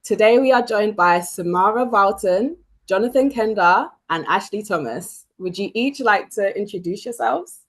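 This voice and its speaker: A woman moderating a webinar panel in a bright, affirming manner. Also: mid-range voice, normal speed. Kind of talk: hosting a panel.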